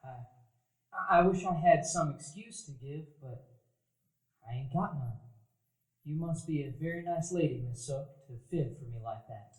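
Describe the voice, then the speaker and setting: A man playing a shamefaced rough-spoken thief. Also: on the high side, natural voice. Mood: ashamed. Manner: halting, plain-spoken, ungrammatical. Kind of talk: stage radio play acting. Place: dinner theater stage before a live audience. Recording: echoey live stage recording, off-mic.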